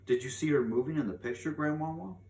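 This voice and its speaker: A man's voice: a little high, fast talker, darkish tone, pitched above the performer's own voice, close to an everyday voice. A man voicing a curious, doubting seven-year-old in a storybook, with a questioning lilt. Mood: wondering. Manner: questioning, lilting upward. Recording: echoey room, distant mic, faint noise.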